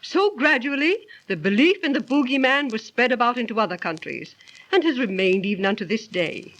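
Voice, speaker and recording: A woman's voice: on the high side, animated, fairly quick, fairly bright tone, poor audio. A woman playing a patient, instructive aunt who tells stories in an emphatic, explanatory way in a 1930s radio drama. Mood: explanatory, earnest.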